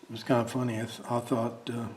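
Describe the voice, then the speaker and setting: A man talking casually and haltingly, thinking aloud as he demonstrates a drawing. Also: medium pitch, completely flat delivery, darkish tone, slight background noise. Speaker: amateur artist demonstrating a drawing. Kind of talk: casual talk while drawing.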